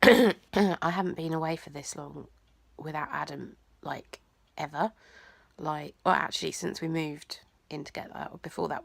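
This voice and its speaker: A young woman, talking in a rambling, hesitant way in a personal vlog, nasal and croaky from blocked sinuses. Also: low voice, a bit bright, dry recording. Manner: rambling, hesitant, many ums and likes. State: congested, teary. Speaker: vlogger.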